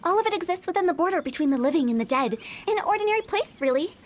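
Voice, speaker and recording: A woman's voice: extremely high pitch, animated, rapid-fire, a little hiss or hum. A young woman voicing a playful, mischievous female funeral director in a video game, teasing and mock-spooky.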